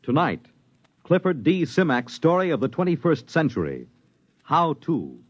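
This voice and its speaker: Theatrical male radio announcer, animated delivery. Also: on the high side, normal speed. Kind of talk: 1950s dramatic radio announcing, scripted. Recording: muffled old radio broadcast, music behind. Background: music.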